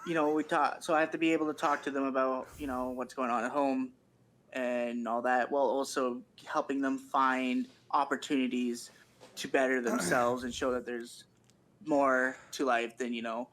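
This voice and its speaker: A man talking casually on a podcast, explaining things in a measured, informal way with frequent "you knows.". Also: mid-range voice, little pitch movement, fairly bright tone, rough recording. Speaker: podcast participant.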